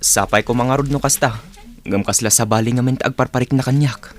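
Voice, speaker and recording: A medium-pitched actor's male voice plays a chummy workmate and confidant. He has an Ilocano accent and acts in radio drama style. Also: a little fast, natural voice.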